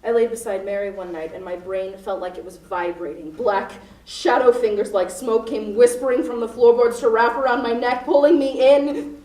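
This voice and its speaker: A woman in a student radio play acting a desperate, unhinged hostage-taker, melodramatic, moving between hushed recollection and frantic cries. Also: a little high, normal speed, natural voice. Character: unhinged, desperate female hostage-taker confessing her crimes. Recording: roomy, distant recording with music behind.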